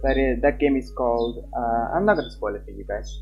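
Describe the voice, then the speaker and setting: Casting: young man, chatty livestreamer, everyday pitch, lo-fi mic with music playing behind him. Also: a little fast, creaky. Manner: chatty, loose, repeats himself. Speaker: livestreamer, host. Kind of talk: casual livestream chat while reading visual novel text aloud. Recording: dull lo-fi mic with background music. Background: game background music.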